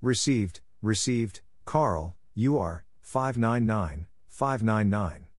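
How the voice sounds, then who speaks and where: Slow, low-voiced man reading radio drills. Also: animated, dry recording. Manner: measured, deliberate, repeats short phrases. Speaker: narrator of Morse code practice drills. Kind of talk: scripted readout of radio exchanges.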